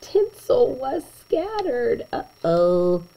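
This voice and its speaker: A woman reading a children's picture book aloud in an expressive way, with chatty asides to young listeners. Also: a little high, poor audio. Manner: animated, slow and deliberate storytelling, chatting to young listeners.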